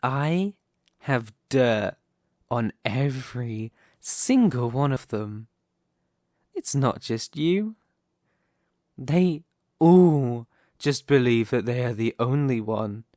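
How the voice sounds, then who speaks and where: A man playing a possessive, scheming young mafia boss in an ASMR roleplay, speaking in a deliberate, condescending, theatrical way. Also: medium pitch, animated, a little mellow, dry recording, clean studio sound. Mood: smug, threatening.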